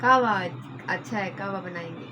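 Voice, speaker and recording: A woman's voice: medium pitch, moderate pace, pushed and bright, a little hiss or hum. A woman who has a cold and cough, chiming in with short, agreeing replies in a casual home chat.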